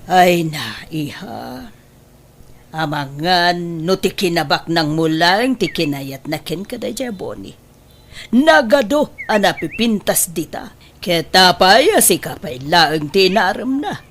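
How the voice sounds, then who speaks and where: A woman's voice: on the low side, lively intonation, slow pace, slight background noise. A woman acting a domineering middle-aged mother who coaxes and insists, in an emotive audio drama. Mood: coaxing, insistent.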